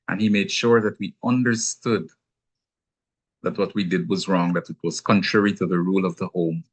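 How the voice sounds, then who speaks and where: A man from Guyana speaks with a Guyanese accent in a calm, explanatory teaching talk. His voice sits fairly low and has a husky edge. He sets out his points in a measured way, with an occasional touch of gentle humor. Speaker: speaker on anger management.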